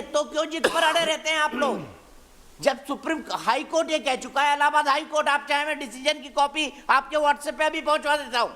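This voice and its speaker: A man's voice: extremely high pitch, bright, forceful tone. A man hosting a TV news debate in a combative, insistent, needling way, often repeating himself. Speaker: TV debate host.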